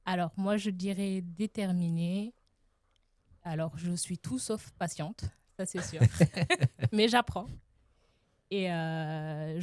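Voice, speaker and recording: Young woman guest, from Senegal, hesitant and thoughtful; darker warm tone, dry close sound. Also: fairly low pitch, dry recording. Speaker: guest, association founder. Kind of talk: casual interview answers.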